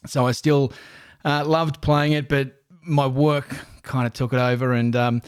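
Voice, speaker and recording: A man's voice: mid-range voice, flat delivery, a little slow, clear, resonant tone. An Australian man in his forties from Newcastle, a stadium announcer talking as a podcast guest in a friendly, rambling way with many restarts. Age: 40s. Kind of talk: casual podcast interview.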